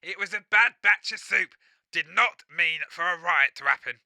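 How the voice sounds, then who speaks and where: A man's voice: high voice, normal speed, vocal fry, sharp tone, no room sound, heavily acted. A man playing a sheepish, offhand cook in an audio comedy. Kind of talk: audio comedy character acting.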